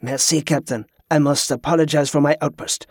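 A man's voice: fairly high pitch, steady tone, a little fast, no room sound, clean studio sound. A man voicing a crafty, hot-tempered old French pirate in an audiobook, with a French accent and effusive, flowery speech. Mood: grateful, relieved.

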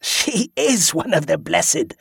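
A man voicing a wounded, mocking male pirate prisoner who speaks slowly with a chuckle and a leer. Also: high voice, sing-song, vocal fry, a bit bright, husky, no echo, clean studio sound, pitched above the performer's own voice, exaggerated voice. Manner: chuckling, slow, leering.

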